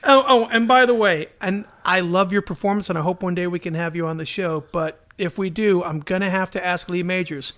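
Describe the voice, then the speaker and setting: A man's voice: high-pitched, fairly quick, hardly any pauses, fairly bright tone. A middle-aged man hosting a podcast, chatting casually with jokes and digressions. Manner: joking, digressive. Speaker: podcast host. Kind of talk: casual chat.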